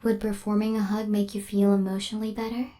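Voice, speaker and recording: A soft, breathy female killer robot, acted by a woman in an ASMR roleplay. The tone is dark and mellow, with very little rise and fall. The phrasing is formal and measured like a machine, yet tenderly possessive and devoted. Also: mid-range voice, flat delivery, close to an everyday voice. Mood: tender, attached. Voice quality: soft, breathy half-whisper. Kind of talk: intimate ASMR roleplay acting.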